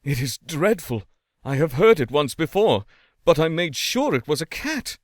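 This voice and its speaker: A man's voice: fairly high pitch, very animated, moderate pace, no echo, clean studio sound. Man playing a plaintive, bewildered hotel landlord. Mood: bewildered dismay. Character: distressed, helpless male hotel landlord, wailing and bewildered.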